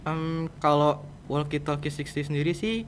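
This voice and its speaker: A man's voice: fairly high pitch, little pitch movement, normal speed. Teen guy from jakarta chatting as interview guest, informal n kinda roundabout, noisy room. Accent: Jakarta Indonesian. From Jakarta, Indonesia. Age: teenager. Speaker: student guest, podcast project leader. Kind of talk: casual conversational interview answers. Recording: clear background noise, room ambience.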